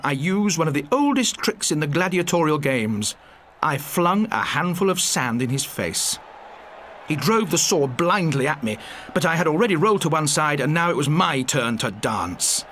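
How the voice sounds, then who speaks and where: A man's voice: on the high side, fairly bright tone, slight background noise. A man reading a first-person story aloud as an audiobook narrator, theatrical and full of suspense.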